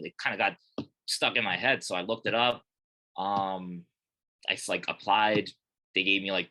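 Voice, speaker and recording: A young man, born in Korea and raised in Colorado, chatting as a guest from a small gym office in a relaxed, rambling way with many fillers. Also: low-pitched, rough recording. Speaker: guest, fighter and gym manager. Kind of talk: casual interview chat.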